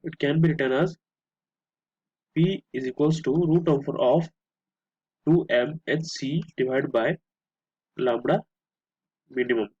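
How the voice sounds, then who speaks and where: A male tutor with an Indian accent and a husky, rough-edged voice explains a worked problem step by step. His delivery is halting, with repeated words and phrases. The recording is muffled and lo-fi, with noticeable room echo. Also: medium pitch, mellow. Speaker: physics tutor. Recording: muffled lo-fi, echoey room sound.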